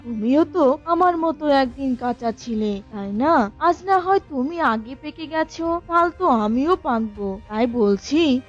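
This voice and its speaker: A woman voicing a modest young green banana in a cartoon, earnest and reproachful, on a dull recording. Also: high voice. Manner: earnest, admonishing. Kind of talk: cartoon character dialogue.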